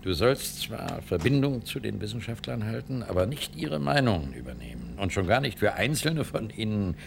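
A man playing a stern, weary starship commander and father in a science-fiction radio drama, gruff, halting and authoritative. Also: lowish voice, sing-song, background noise.

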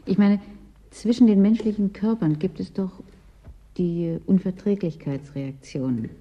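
A woman playing a weary, brooding office superior in a German radio drama, speaking pensively and haltingly. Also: medium pitch, highs cut off, close to an everyday voice. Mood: wistful.

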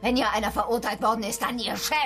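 A woman's voice: on the high side, fairly even intonation, fast talker, a bit bright, faint music behind, lightly acted. An actress in a German radio drama playing a bitter, furious former sportswoman, scornful and defiant.

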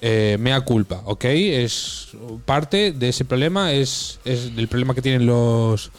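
A man's voice: medium pitch, lively intonation, slow pace, faint music behind. A man from co-hosting a casual comedy podcast, ranting with mock outrage and swearing. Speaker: podcast co-host.

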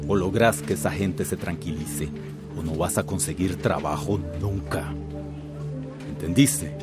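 A man's voice: low voice, unhurried, over loud music. An actor playing a bullying male company boss in a radio drama, two-faced, falsely jovial and domineering. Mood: threatening.

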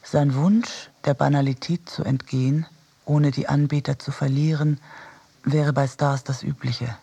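A man reading literary radio prose in a measured, reflective manner. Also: a little high, steady tone, creaky, mellow, breathy edge. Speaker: narrator.

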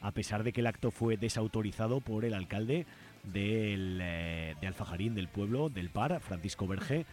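Male radio host from Spain, fairly low voice, informal and hesitant commentary. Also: normal speed, darkish tone.

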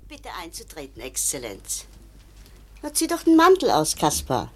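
A woman's voice: low-pitched, wildly swooping pitch, normal speed, a little mellow, breathy edge, exaggerated voice. An actress playing a bustling, motherly foster mother in a radio drama, speaking in a fussy, eager and deferential way.

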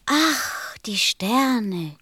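A woman's voice: fairly high pitch, animated, extremely slow, pushed and bright, breathy edge, no echo, clean studio sound, heavily acted. A woman playing a curious, earnest young boy in a radio play, innocent and persistent in manner. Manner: innocent, insistent questioning.

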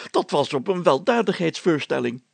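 Storybook mayor performed by a male reader: pompous, excitable adventurer, heavy creak, husky, dry close sound. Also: fairly high pitch, moderate pace, dry recording, exaggerated voice. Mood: blustering, self-assured. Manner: pompous, exclamatory, chatty. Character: excitable, self-important, adventurous village mayor, a man.

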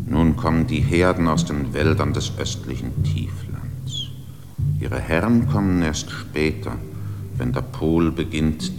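A vast, brooding mountain spirit that fears and hates humans. It speaks with a very deep, gravelly voice, slow and grave, often sinking into a whisper. Music swells behind it in this radio play. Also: male voice, exaggerated voice.

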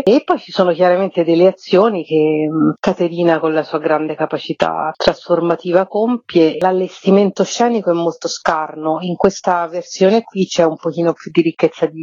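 A woman's voice: on the low side, dark tone, no echo. Effusive woman, dull close sound. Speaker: playwright interviewed about her show. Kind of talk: radio interview, enthusiastic explanation.

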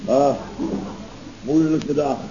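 An actor playing a self-pitying, blustering boss of a building firm, pompous and melodramatic, in an old radio comedy. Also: male voice, mid-range voice, very slow. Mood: solemn self-pity. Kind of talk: 1970s Dutch radio comedy acting. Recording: dull, noisy old radio recording.

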